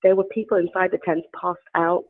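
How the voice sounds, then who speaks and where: A woman's voice: fairly low pitch, level tone, brisk. A woman from the Bahamas with a British accent, speaking frankly as a journalist guest, with many "you know" fillers and restarts over a phone line. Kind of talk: phone interview, candid conversational.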